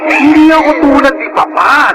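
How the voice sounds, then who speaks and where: A man's voice: extremely high pitch, moderate pace, poor audio. An actor playing a paranoid, jealous husband and father in a Filipino radio drama, accusing and menacing his family. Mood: raging. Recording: radio drama with loud background music. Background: dramatic music.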